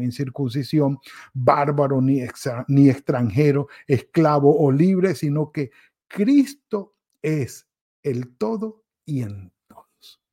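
Slow gravelly pastor, warm low tone. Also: male voice. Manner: mimics excuses in quick quoted asides; pauses and restarts, self-corrects. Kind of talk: devotional sermon.

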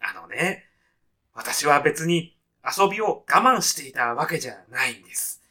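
A man's voice: a little high, very animated, unhurried, bright, forceful tone, heavily acted. A man playing a timid, straitlaced salaryman in a comic radio sketch, speaking politely, hesitantly and with reserve. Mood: hesitant, uneasy. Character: timid, straitlaced salaryman newly arrived in heaven.

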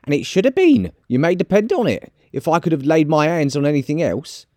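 A man voicing a bold, defiant, scornful charwoman in a storybook reading, loud and exclaiming. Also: on the high side, big pitch swings, fairly quick, no echo, studio quality, pitched above the performer's own voice.

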